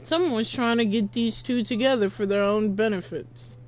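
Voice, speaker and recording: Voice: medium pitch, fairly even intonation, normal speed, low-quality recording. A teenage gamer giving casual reaction commentary, with slangy, muttered exclamations. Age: teens. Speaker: gamer reacting to a game's story.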